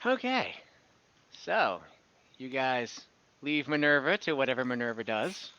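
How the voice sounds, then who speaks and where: A man's voice: medium pitch, sing-song, a little slow, sharp tone, clean tone, dry recording. A man narrating a tabletop game as game master in an informal, conversational way. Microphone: online voice call. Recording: slightly dull online call audio.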